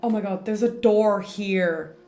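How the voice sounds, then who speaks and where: A woman's voice: medium pitch, a little slow, dark tone. Woman streamer chatting in a roomy echo. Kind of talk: casual reaction commentary over a video game. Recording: roomy echo, game music behind. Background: video game music and dialogue.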